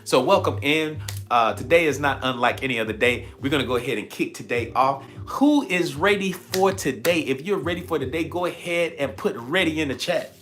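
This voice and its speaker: A man hosting a motivational livestream, speaking emphatically and repeating phrases, his voice slightly raspy and tired. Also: on the high side, a little fast, hardly any pauses, fairly bright tone. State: slightly raspy, tired voice from shouting the night before. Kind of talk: motivational livestream talk, preaching-like.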